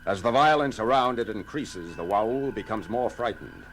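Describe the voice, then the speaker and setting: A man's voice: on the low side, animated, moderate pace, slight background noise, poor audio. A man playing a logical alien starship science officer, speaking formally and precisely in a science fiction audio drama. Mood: grave. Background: loud dramatic music.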